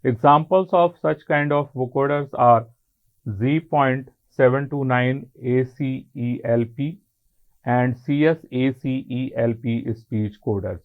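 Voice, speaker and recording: Slow Indian man lecturing, phone-like sound. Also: medium pitch, fairly even intonation. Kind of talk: lecture, explanatory. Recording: muffled, narrow phone-like sound.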